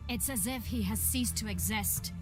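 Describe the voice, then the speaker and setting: A woman's voice: medium pitch, normal speed, over music, natural voice. A woman playing a stern priestess in a fantasy game, formal and grave. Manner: formal, solemn. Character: stern, grave priestess recounting sacred lore. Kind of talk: fantasy video game acting.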